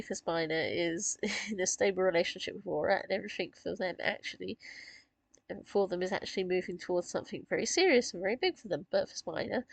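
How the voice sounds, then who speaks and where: Croaky, husky woman chatting. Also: on the low side, no room sound, rough recording. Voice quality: gravelly, husky. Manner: chatty, rambling with fillers and small laughs. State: croaky from a sore throat. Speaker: author discussing her characters. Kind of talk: casual chat.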